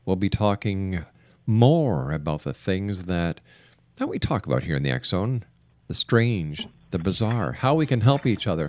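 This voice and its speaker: A man hosting a talk radio interview, speaking in an emphatic, deliberate way and repeating phrases for emphasis. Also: fairly low pitch, a little mellow, no echo. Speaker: radio show host.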